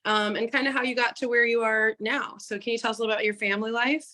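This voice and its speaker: A woman's voice: on the high side, fairly even intonation, fast, sharp tone. A woman hosting an online interview in a chatty, encouraging, conversational way. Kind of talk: casual interview chat.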